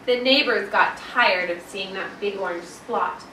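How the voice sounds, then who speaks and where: A woman primary school teacher reading a picture book aloud to a young class with expressive, emphatic storytelling. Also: mid-range voice, a little slow. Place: indoor classroom, children present. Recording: echoey classroom from far off, some noise.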